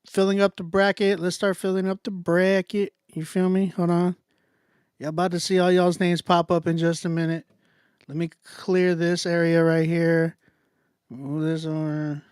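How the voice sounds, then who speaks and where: High-voiced American man hosting a livestream. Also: a little mellow, smooth, dry recording, clean studio sound. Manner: informal, slangy, repetitive hype talk. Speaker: livestream host of a music contest. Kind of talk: casual livestream chat.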